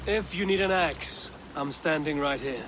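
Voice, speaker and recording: An actor playing a steady, commanding Viking raider in a game cutscene, speaking tersely and firmly. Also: male voice, on the high side, a little slow, background noise, natural voice. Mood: resolute. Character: resolute Viking raider war leader, male, steady and commanding. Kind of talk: video game cutscene acting.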